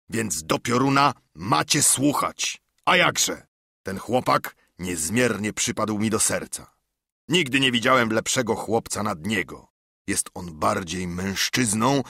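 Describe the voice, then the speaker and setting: A man's voice: lowish voice, creaky, pushed and bright, husky, no room sound, clean studio sound, heavily acted. Man acting out a roaring, swaggering pirate captain. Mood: scornful, commanding. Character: commanding pirate captain, menacing, scornful older ship's cook. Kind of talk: audiobook character acting.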